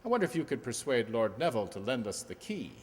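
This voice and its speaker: A man playing a shrewd, courteous gentleman detective, assured and inquisitive, in a radio play. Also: medium pitch, very animated, quick pace, slight background noise, lightly acted. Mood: urbane. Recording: echoey hall reverb.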